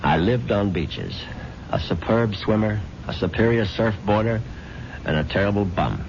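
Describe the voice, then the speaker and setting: A man's voice: on the low side, lively intonation, moderate pace, vocal fry. An actor playing a wry man telling his own life story in a radio drama. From born in London, raised in Vermont. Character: Richard Moresby, pale-eyed wry Englishman. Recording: noisy lo-fi old radio recording.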